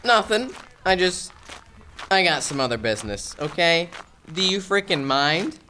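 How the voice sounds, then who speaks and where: An actor playing a stressed, exasperated, melodramatic mob boss in a comedic audio drama. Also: male voice, fairly high pitch, expressive, a little slow, pushed and bright, slight background noise. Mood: impatient. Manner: exasperated, melodramatic mobster talk. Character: stressed, blustering male mob boss secretly running a pizzeria.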